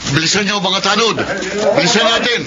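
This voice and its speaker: Authoritative village captain by an actor, dull radio sound. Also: male voice, sits high, a little fast, natural voice. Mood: urgent. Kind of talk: radio drama acting. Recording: dull radio audio under loud music.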